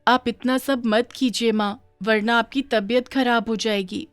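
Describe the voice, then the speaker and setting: A woman's voice: a little high, steady tone, unhurried, no room sound, lightly acted. A woman voicing an earnest young village daughter who pleads with feeling in a cartoon moral story. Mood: worried, caring.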